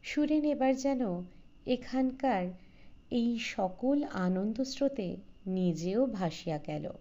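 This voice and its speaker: A woman narrates a classic literary story at an unhurried, slow pace. Her tone is mellow and relaxed, set in the middle of the female range. The recording sounds slightly dull and muffled. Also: on the high side. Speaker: audiobook narrator.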